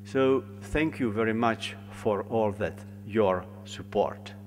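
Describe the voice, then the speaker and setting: A man's voice: fairly low pitch, slow. A man with a Czech accent, a local organizer, giving an earnest formal welcome speech with slightly non-native phrasing. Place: large conference hall. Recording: long hall reverb, faint hum.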